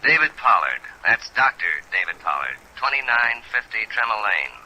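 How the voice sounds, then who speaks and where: An actor playing a brisk, cooperative businessman on the telephone in a 1950s radio drama. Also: lowish voice, sing-song, pushed and bright, full character voice, low-quality recording. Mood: brisk, businesslike.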